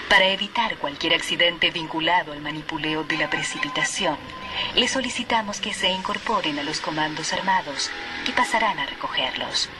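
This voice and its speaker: An actress playing a monotone, formal radio announcer reading an official emergency bulletin in a radio drama. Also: female voice, fairly low pitch, natural voice.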